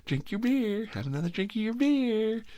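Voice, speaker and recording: A man's voice: high-pitched, big pitch swings, moderate pace, dark tone, voice pushed up. A man voicing a nagging, needling elderly mother in an exaggerated, pestering way while telling a story.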